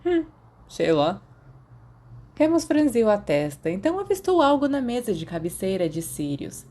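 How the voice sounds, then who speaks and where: A woman's voice: fairly high pitch, sing-song, brisk, warm tone. A woman with a Brazilian accent reading a story aloud as scripted narration. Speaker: audiobook narrator of fan fiction.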